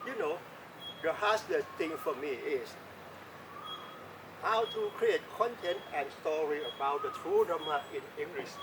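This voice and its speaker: A man from Thailand speaking English with a Thai accent, giving an earnest, deliberate Dharma talk and repeating his key points. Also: a little high, far from the mic, background noise. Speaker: Buddhist Dharma teacher.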